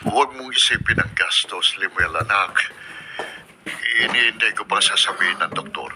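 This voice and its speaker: A man's voice: on the low side, normal speed, lightly acted. A man playing a troubled, devoted middle-aged father in a Filipino radio drama, speaking earnestly and insistently. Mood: earnest reassurance. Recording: noisy radio broadcast with clicks.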